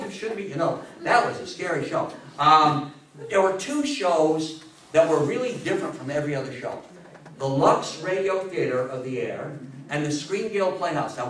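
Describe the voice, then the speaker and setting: A man in his sixties giving an animated, informal talk to an audience, exclaiming and asking them questions with enthusiasm. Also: a little high. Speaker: lecturer. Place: indoor meeting room before a seated audience. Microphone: distant camera mic. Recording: echoey room, distant mic, audience noise.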